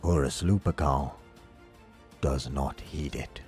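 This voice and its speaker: Male narrator for dark fiction: bottomless low register, slow measured pacing, grave and dramatic. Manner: solemn, measured delivery. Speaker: audiobook narrator. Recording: music under the voice. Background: background music.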